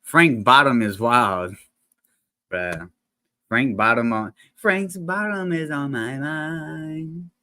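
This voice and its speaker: A man's voice: mid-range voice, slow, pushed and bright, dry recording. Slangy rambling man on a dry mic. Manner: slangy, rambling, many restarts and fillers. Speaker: reaction channel host. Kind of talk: casual reaction commentary. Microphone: desk microphone, sometimes peaking.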